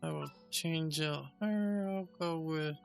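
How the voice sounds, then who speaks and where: Man, gamer, casual play-by-play, somewhat high pitch, light music behind. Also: slow pace, gravelly. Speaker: gamer playing and commenting. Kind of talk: casual gameplay commentary.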